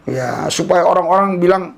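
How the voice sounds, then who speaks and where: Male YouTube commentator, creaky, slightly high voice, teasing and emphatic, with a preacher's streak. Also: normal speed. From Indonesia. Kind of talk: casual monologue commentary with preaching.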